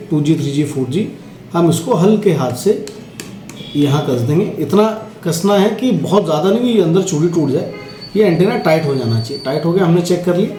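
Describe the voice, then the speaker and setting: A man's voice: a little high, mellow. A man giving a casual, instructional product demo, speaking directly to viewers and checking in often as he explains each step. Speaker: product demonstrator. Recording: roomy echo, faint music.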